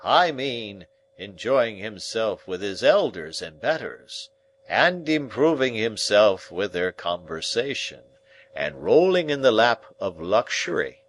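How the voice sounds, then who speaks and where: A man voicing a pompous, blustering middle-aged man who lectures a boy in a loud, drawn-out, self-important way. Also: on the low side, sing-song, slight background noise. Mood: hectoring.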